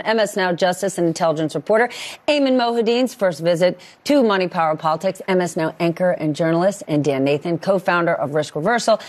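A woman anchoring a cable news panel, introducing guests and bantering with them. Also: lowish voice.

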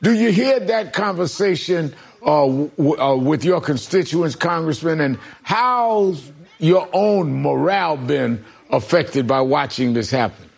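A middle-aged or older man hosting a political interview, speaking haltingly with a gravelly, husky voice and repeated words. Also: fairly high pitch, animated. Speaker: political talk show host. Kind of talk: broadcast news interview.

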